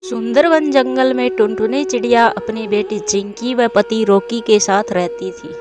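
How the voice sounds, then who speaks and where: A woman's voice: fairly high pitch, fairly even intonation, a little slow, music in the background. A woman narrating a scripted children's story as a storyteller.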